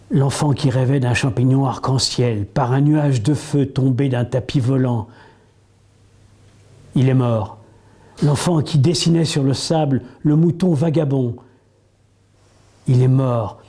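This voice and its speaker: A man recites verse solemnly in a medium-pitched, dark and relaxed voice. He moves very slowly and deliberately, setting each phrase apart with a pause. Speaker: poetry reader.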